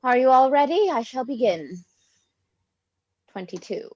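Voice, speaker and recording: Dull, dry video-call sound carries a dour young married woman, played by an actress in a stage play. She speaks slowly and deliberately, in a plain, slightly high voice. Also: big pitch swings, darkish tone, no echo, heavily acted. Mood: flat, businesslike. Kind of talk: cold read of a classic stage play.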